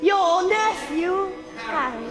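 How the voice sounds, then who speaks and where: An actress playing a ghostly spirit guide in a musical radio drama, knowing, gently pointed and measured in her storytelling. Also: extremely high pitch, animated, loud music behind the voice, exaggerated voice. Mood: gentle, knowing.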